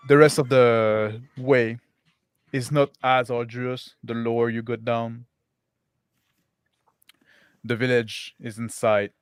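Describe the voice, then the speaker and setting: A man narrating a tabletop roleplaying game as game master, improvising haltingly. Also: medium pitch, level tone, smooth, dry recording.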